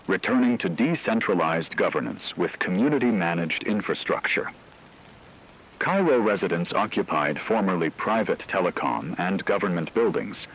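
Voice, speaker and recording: A man playing a radio news anchor in a doomsday broadcast, reading scripted news in a formal, measured way over noise. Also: low-pitched, slightly rough, full character voice, low-quality recording.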